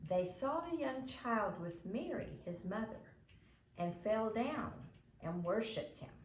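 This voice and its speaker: A woman voicing a patient, proper mother in a storybook, measured and careful as she reads and explains. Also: a little high, expressive, distant mic. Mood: solemn. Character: patient, proper mother directing a church pageant. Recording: roomy echo, faint background noise.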